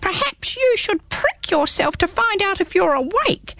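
Tiny fussy hedgehog done by a woman, way up high and chattering, slow and dry close-up. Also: big pitch swings, a bit bright, no echo, heavily acted. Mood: prim. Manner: talkative, prim, rambling. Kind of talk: storybook read-aloud.

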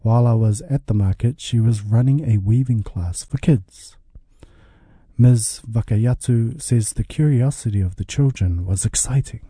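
A man's voice: medium pitch, normal speed, mellow, slightly rough, dry recording. A man reading a scripted radio news report as the reporter.

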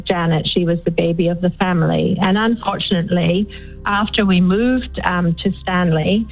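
An older Scottish woman calling in to a radio show, telling a story in a chatty, unhurried way with plenty of ums. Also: mid-range voice. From Scotland. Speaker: caller. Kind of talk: phone-in storytelling. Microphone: phone. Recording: tinny phone line with faint music.

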